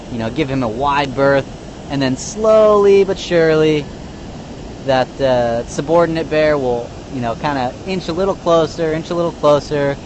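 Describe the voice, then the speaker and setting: Laid-back man ranger, noisy outdoors. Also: fairly high pitch. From North Bay of California. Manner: laid-back, explaining with lots of you know. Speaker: park ranger. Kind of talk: casual live-stream explanation. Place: outdoor elevated viewing platform by a river. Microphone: phone live-stream. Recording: outdoor noise behind the voice.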